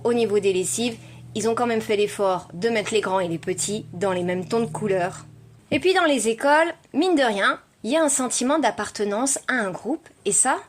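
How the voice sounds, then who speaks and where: A woman hosting a podcast, delivering scripted educational narration with playful asides, speaking informally and directly to the listener. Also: on the high side. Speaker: podcast host.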